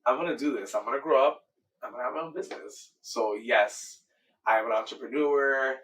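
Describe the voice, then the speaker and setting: A man's voice: medium pitch, fairly quick, a bit bright, distant mic, low-quality recording. A man in his thirties from Miami, a dancer and choreographer, answering interview questions in an earnest, enthusiastic way and often repeating himself. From Miami, Florida, USA. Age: 30s.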